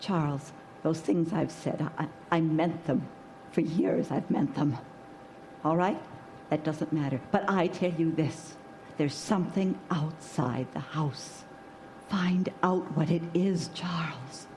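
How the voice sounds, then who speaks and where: An actress playing a frightened, long-suffering middle-aged wife in old-time radio horror melodrama, emotional and pleading. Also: female voice, low-pitched, takes it slowly, a little mellow. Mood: tense, earnest. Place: live stage before an audience. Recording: noisy live stage recording.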